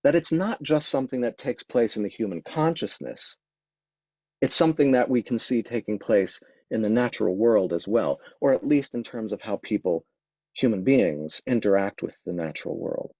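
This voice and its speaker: A lower-voiced man with a husky tone lectures on Taoist medicine into a microphone while fighting a cold. He speaks reflectively, stopping to restart his sentences and filling pauses with ums. The sound is dull, dry and lo-fi. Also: lively intonation, a little mellow, no echo. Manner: thoughtful, restarts and many ums. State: has a cold, sniffling. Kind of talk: informal lecture.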